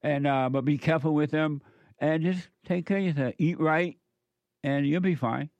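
A man's voice: a little high, normal speed, a little mellow, no room sound, highs cut off. A man hosting a call-in radio talk show who speaks in a blunt, casual way.

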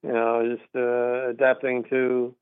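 A man's voice: medium pitch, monotone, a little slow, smooth, no room sound. A middle-aged man from Texas, calling in to a radio talk show and speaking in an earnest, emphatic, rambling way. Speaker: caller. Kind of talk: call-in radio conversation. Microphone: telephone. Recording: tinny phone line, dry.

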